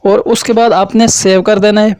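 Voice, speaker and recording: A man's voice: high voice, little pitch movement, normal speed, a little mellow, a little hiss or hum. A man narrating a step-by-step app tutorial as its host.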